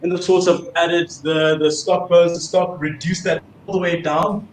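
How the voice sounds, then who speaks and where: A man's voice: a little high, flat delivery, moderate pace, echoey room, a little hiss or hum, poor audio. A man with a South African accent, a guest chef talking viewers through his dish over a laptop video call. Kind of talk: casual cooking demo on video call.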